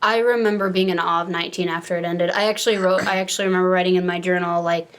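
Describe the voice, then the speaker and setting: A woman's voice: on the low side, fairly even intonation, very fast, clean tone. A woman in her twenties co-hosting a casual podcast, talking in an earnest, emphatic way. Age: 20s. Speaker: podcast co-host.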